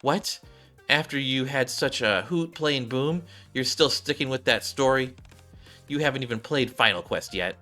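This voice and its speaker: A man reading the part of a brash, excitable young female gamer in a video game, teasing and emphatic. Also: mid-range voice, normal speed, soft background music, voice pushed up, lightly acted. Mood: excited, emphatic.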